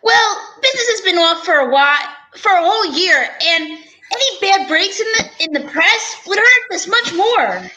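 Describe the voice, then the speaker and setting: Woman acting a very high, flustered businessman. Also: lively intonation, moderate pace, sharp tone, exaggerated voice, low-quality recording. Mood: worried. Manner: hesitant, fussy, stammering. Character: flustered, anxious male store executive hiring a detective. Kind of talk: amateur old-time radio detective drama.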